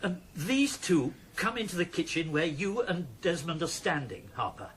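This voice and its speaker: A man's voice: fairly high pitch, sing-song, moderate pace, slight background noise. A man playing an anxious, incredulous police chief questioning his officers in a radio drama. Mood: anxious probing. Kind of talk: 1990s radio drama acting.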